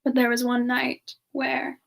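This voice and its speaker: Woman, high voice, unhurried delivery, halting and giggly, for an in-character semi-ASMR livestream chat. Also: monotone, creaky. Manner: halting, musing aloud, giggly. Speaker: livestream host in character, answering viewer questions.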